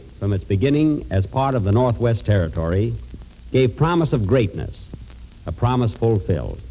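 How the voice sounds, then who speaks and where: A man's voice: lowish voice, warm tone. An American man reading a scripted tribute in a stately, oratorical way on a noisy old 1940s radio broadcast. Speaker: radio announcer.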